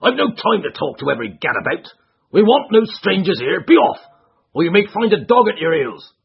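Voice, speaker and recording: A man's voice: high voice, very animated, brisk, creaky, slightly rough, pitched above the performer's own voice, heavily acted. A man voicing a fierce elderly male horse trainer who barks and blusters threats, in a muffled recording. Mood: angry, blustering.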